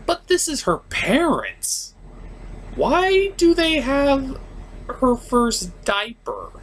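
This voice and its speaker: Sarcastic review host ranting, really swoopy pitch, takes their time, theatrical and fed up, bit of noise behind. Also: huge pitch swings, extremely slow, fairly bright tone. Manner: exasperated, sarcastic, theatrical emphasis. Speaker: host of a cartoon review show. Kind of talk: comedic review rant with scripted recap.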